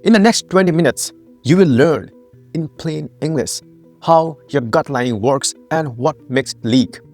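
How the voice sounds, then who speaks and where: A man's voice: on the high side, a little slow, darkish tone, faint music behind. A man from Nepal, founder and host of a health channel, giving a scripted educational narration with emphatic rhetorical questions.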